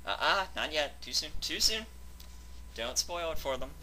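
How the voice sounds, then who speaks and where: A man's voice: on the high side, big pitch swings, normal speed, bright, forceful tone, a little hiss or hum. A man hosting a fanfic reading channel, chatting casually and stammering a little as he introduces things.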